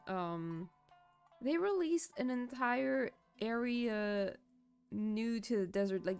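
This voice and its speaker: A young woman streamer chats casually while she plays, wandering between thoughts with plenty of fillers and the odd curse. Her voice sounds a little shaky. Quiet game music plays underneath. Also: on the high side. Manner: rambling, swears freely, many fillers. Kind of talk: casual gameplay commentary.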